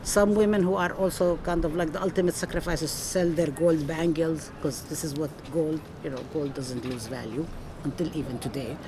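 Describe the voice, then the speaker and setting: A woman's voice: low-pitched, steady tone, moderate pace. Older woman academic from Palestine, earnest and emphatic, interviewed outside with traffic noise behind. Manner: earnest, emphatic, with frequent restarts. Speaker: professor being interviewed. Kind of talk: conversational interview. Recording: outdoor recording with street noise.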